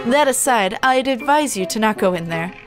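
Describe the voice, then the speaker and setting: A woman's voice: fairly high pitch, expressive, normal speed, over music, pitched below the performer's own voice, lightly acted. Woman playing a suave, flirtatious male pigeon. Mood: suave, coy.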